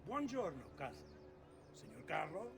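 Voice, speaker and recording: A man's voice: a little high, huge pitch swings, moderate pace, creaky, in a large hall, slight background noise, full character voice. A man playing a proud, commanding conspirator nobleman with an Italian accent in a video game cutscene, haughty and declamatory. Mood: grave alarm. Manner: authoritative, declamatory.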